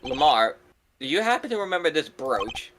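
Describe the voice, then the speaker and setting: A man voicing an anxious, excitable young defense attorney in a video game, nervy and easily exasperated. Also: a little high, moderate pace, music in the background. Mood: questioning.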